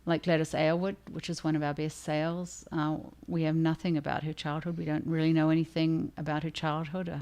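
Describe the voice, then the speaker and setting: A woman's voice: sits low, flat delivery, brisk, few pauses, a little mellow. A woman author hosting a casual discussion with readers, conversational and thinking aloud as she goes. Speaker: author and livestream host.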